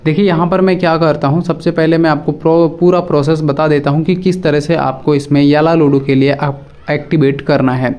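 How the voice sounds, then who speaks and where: A man's voice: fairly high pitch, steady tone, fairly quick, darkish tone, smooth. A man presenting a casual step-by-step phone app tutorial, addressing viewers directly and often repeating his instructions.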